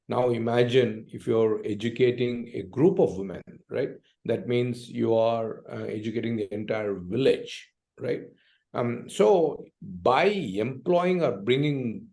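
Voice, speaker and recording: A man with a South Indian accent answering as an interview guest, conversational, with halting phrasing and frequent check-in tags. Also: on the low side, vocal fry, warm tone. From Hyderabad, Deccan region of India. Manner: hesitant phrasing, frequently tags sentences with "right?". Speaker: entrepreneur and investor, interview guest.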